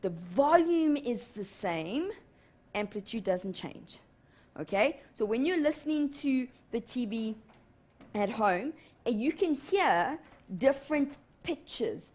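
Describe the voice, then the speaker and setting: A woman in her thirties teaching a live televised lesson in a studio, animated and often checking in with "okay?". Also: a little high, takes it slowly. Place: TV studio in front of a large screen.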